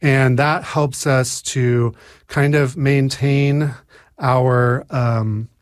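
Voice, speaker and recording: A man's voice: mid-range voice, steady tone, very slow, clear, resonant tone, no room sound, clean studio sound. A man in his forties with an American accent, telling his recovery story in a casual, hesitant way with many fillers and restarts. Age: 40s. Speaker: speaker sharing recovery story. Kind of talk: casual personal share.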